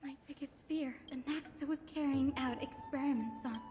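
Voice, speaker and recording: A woman voicing an earnest, expressive young female student in a video game. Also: sits high, normal speed, natural voice. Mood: worried, realizing. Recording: reverberant, loud game music behind. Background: game music.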